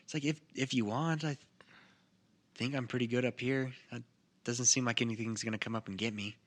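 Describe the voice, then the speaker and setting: A man's voice: medium pitch, fairly even intonation, a little fast, a bit bright. A man casually narrating a tabletop game as game master among friends.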